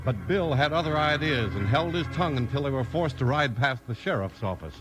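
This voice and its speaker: A man's voice: medium pitch, lively intonation, brisk, background noise. A man with an American accent announcing in a 1950s radio style, suited to sponsor banter and western narration.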